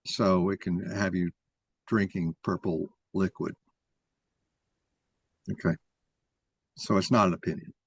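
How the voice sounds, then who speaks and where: A man's voice: low voice, steady tone, a little slow, pauses often, breathy edge, no echo, dull audio. A man giving an explanatory talk in an opinionated, didactic way, taking questions as he presents his argument.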